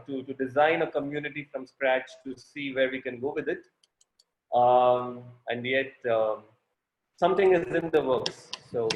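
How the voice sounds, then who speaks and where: A man runs an online workshop over a video call, and he keeps stopping and restarting his sentences with a joking tone. His voice sits a little high for a man. The sound carries a clear room echo. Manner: halting, many restarts, joking. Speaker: workshop host.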